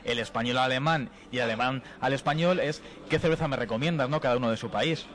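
A man's voice: medium pitch, fast, a bit bright. A man from Spain doing a live on-location interview as a radio reporter, chatty and jokey.

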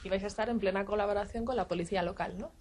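A woman's voice: medium pitch, fairly even intonation, rapid-fire, darkish tone. A woman hosting a live interview, cheerful and steering the chat, with frequent short acknowledgements. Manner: cheerful, steering, says uju a lot. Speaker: interviewer host.

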